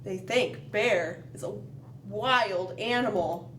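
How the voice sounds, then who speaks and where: A woman reads a picture book to young children in an unhurried, expressive way. She leaves dramatic pauses and adds questioning asides as a storytime host. She is some distance from the mic in a roomy space, with faint noise behind her. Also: mid-range voice.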